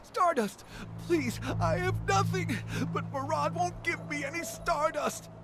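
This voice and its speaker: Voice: very high-pitched, unhurried, music in the background. A performer playing a desperate, pleading street beggar in a video game, with noise behind the voice. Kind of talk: scripted video game acting. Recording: noisy game ambience behind voice.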